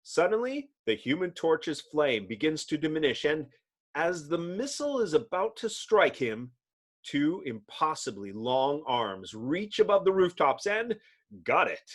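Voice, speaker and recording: Male narrator, dramatic comic-book reading, theatrical, emphatic, suspenseful, very lively intonation. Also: on the high side, measured pace. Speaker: narrator, reader.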